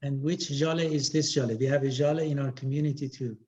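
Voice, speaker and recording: A man's voice: mid-range voice, steady tone, quick pace, darkish tone. A man hosting an online meeting, politely welcoming participants and asking where they are from. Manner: welcoming, courteous. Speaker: meeting host. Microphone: video call. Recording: dull video call audio.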